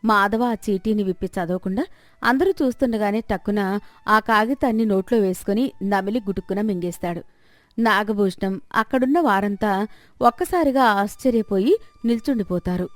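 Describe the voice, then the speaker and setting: Woman, storyteller, scripted moral-tale narration, mid-pitched, dry recording. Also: normal speed, no room sound.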